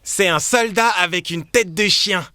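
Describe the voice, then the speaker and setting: A woman's voice: on the low side, measured pace, pushed and bright, no room sound. A woman voicing a playful, joking young boy adventurer in a children's cartoon, eager in manner.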